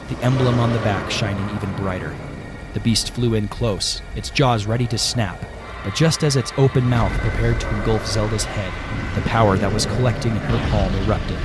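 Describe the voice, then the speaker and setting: A man narrating a scripted fantasy audio play with dramatic, deliberate storytelling. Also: fairly low pitch, very noisy. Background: prominent music.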